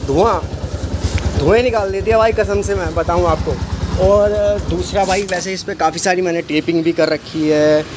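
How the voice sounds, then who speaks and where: Man, high voice, boastful casual chatter, outdoor bike shop, phone camera, loud traffic behind. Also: normal speed. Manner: chatty, says "bhai" constantly, boastful. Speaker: bike mechanic and channel host. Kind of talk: casual walkaround video talk. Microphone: phone camera, speaker off screen. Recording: noisy street and traffic behind.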